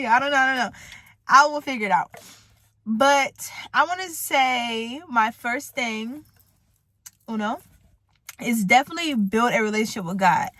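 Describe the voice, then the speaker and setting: A woman's voice: fairly high pitch, fairly bright tone, no echo. A woman of twenty talking casually to her camera, chatty and rambling, laughing easily. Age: 20s. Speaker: vlogger. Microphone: camera mic.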